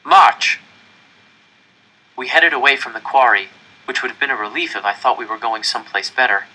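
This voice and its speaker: A man narrates a novel in the first person with a sharp, intensely bright voice at an average pitch. His delivery is dramatic and deliberate, shaped as expressive storytelling. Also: rough recording. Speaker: audiobook-style reader.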